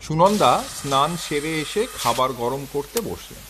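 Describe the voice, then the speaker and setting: A man narrating a scripted story in an expressive, literary manner. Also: fairly high pitch, unhurried, background noise.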